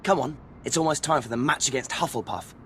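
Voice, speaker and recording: A man's voice: mid-range voice, fast, a bit bright, slight background noise, lightly acted. A man voicing an earnest teenage British schoolboy wizard in a video game.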